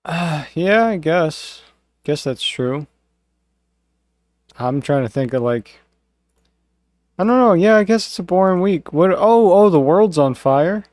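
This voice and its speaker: A man's voice: fairly high pitch, expressive, slow pace, pauses often, a little mellow, no echo, studio quality. A man hosting a casual podcast, congested, rambling with lots of fillers and laughs. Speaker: podcast host. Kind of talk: casual chat.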